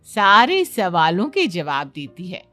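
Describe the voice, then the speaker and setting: Female doctor for a cartoon story, woman performer: approving, advisory, lowish pitch, music underneath. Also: sing-song, slow pace, fairly bright tone, full character voice. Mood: approving, chatty. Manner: chatty, advisory. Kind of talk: Hindi cartoon story dialogue.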